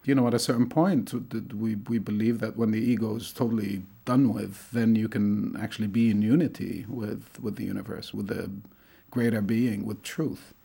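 Middle-aged guy from tunisia, low voice with some fry, thinking out loud in an interview. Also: steady tone, moderate pace. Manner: thoughtful, hesitant with many fillers. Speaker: lecturer in Arabic studies. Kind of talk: conversational interview.

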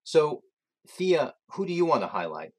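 A man's voice: fairly high pitch, sing-song, moderate pace, a little mellow, breathy edge. A man hosting a talk show about music, with a light, self-deprecating way of speaking. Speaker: host. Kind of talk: radio-style show hosting. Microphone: remote recording outside the studio.

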